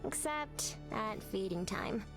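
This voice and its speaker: A woman's voice: mid-range voice, expressive, slow. A woman playing a friendly young girl mech pilot in a video game, youthful and matter-of-fact. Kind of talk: video game hero voice lines. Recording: game dialogue over loud music.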